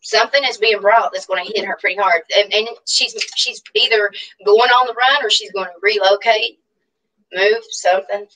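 A middle-aged woman with a Southern drawl lays out tarot cards and talks them through in a loose, rambling way, pausing often to think. She sits back from her webcam, so her voice sounds a little distant on the video call. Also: medium pitch, fairly even intonation, pushed and bright. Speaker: tarot reader guest. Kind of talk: casual tarot reading on a livestream. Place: home room indoors.

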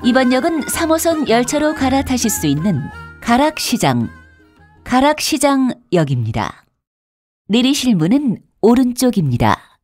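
A woman delivers scripted subway announcements. Her voice is fairly high, with very lively intonation. She is formal and polite, enunciating carefully in a very dry recording. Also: dry recording.

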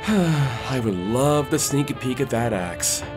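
A man voicing an excitable, starstruck wrestling fan in a comic dub, gushing with enthusiasm. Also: mid-range voice, slow pace, over music. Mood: awed. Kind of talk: comic dub character acting.

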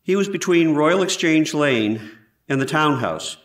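A man playing an 18th-century surgeon who gives measured, precise testimony in a scripted trial re-enactment. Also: a little high, expressive. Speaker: actor in a historical trial re-enactment. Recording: roomy echo, distant from mic.

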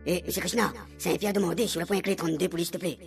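Chatty spirit, réunion creole accent, super fast talking, gravelly, radio comedy over music. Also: male voice, high-pitched, fairly even intonation, distant mic. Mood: relaying. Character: chatty obliging spirit acting as go-between for a medium. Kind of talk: comic radio serial acting. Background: music under the voice.

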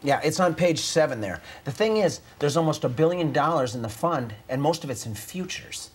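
A man's voice: a little high, animated, moderate pace, husky. An actor in his forties playing an earnest, doubtful auditor, tactful and hesitant, in a corporate training-film drama. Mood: concerned. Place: indoor office.

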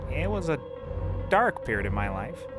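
A man performs an oddball private eye in a scripted comedy podcast. He rambles erratically with an extremely animated, swooping pitch, and music plays clearly underneath. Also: normal speed, lightly acted. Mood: evasive, jittery. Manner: erratic, swinging from muttering to outbursts. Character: eccentric, rambling bumbling male detective undercover as captive. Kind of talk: scripted comedy audio drama.

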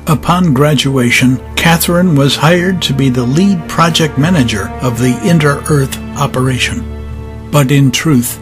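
A man's voice: medium pitch, measured pace, close to an everyday voice. A man giving scripted dramatic narration as the narrator of an audio story. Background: faint music.

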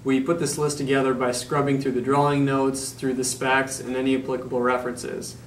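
A young man explaining an inspection plan in an even, flat delivery, in a small office room. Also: medium pitch, a little fast, a little hiss or hum. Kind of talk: prepared explainer. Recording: roomy, distant mic.

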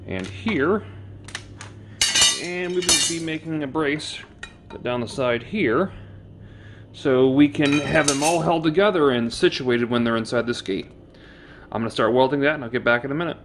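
A man giving a casual how-to narration of his DIY work, plain-spoken and relaxed, with plenty of "uh" fillers. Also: mid-range voice, background noise. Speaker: DIY mechanic vlogger.

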